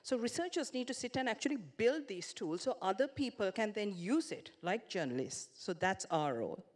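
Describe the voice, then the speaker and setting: A middle-aged woman policy researcher explaining her work on a conference panel, speaking in a measured way into a handheld mic. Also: mid-range voice, sing-song. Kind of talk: panel discussion. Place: large conference hall. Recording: long hall reverb.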